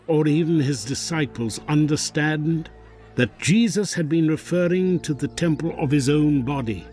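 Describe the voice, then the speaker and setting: A man narrating a scripted audio drama in a solemn, deliberate way. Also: fairly high pitch. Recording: soft music behind.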